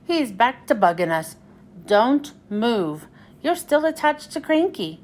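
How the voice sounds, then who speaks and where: A woman's voice: on the high side, very animated, slow pace. A woman voicing a small, grumpy, put-upon male tank engine in a children's storybook, complaining as he talks. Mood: annoyed. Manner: grumbling, complaining.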